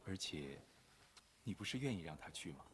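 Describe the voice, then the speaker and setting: A dignified young gentleman in an animated drama, low-pitched with expressive movement in the voice. He is calm, gentle and measured, with music playing behind. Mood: composed.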